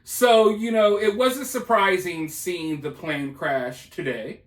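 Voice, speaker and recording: A man's voice: high-pitched, fairly even intonation, slow pace, echoey room. A man giving casual, opinionated news commentary, speaking emphatically in colloquial language and repeating himself for effect. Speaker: commentator hosting a news video.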